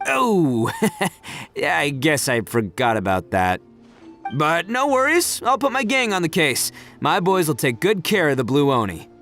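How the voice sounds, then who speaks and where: A brash young oni gang leader in a video game. He is boisterous and easygoing, with a fairly high voice that swings widely. Music runs underneath. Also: wildly swooping pitch, measured pace, fairly bright tone, heavily acted. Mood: sheepish, easygoing.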